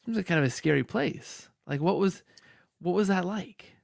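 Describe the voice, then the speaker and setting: A man's voice: high voice, animated, normal speed, vocal fry, no room sound, studio quality. A man hosting a conversational interview, feeling his way through long questions with repeated words and restarts. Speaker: podcast host interviewer.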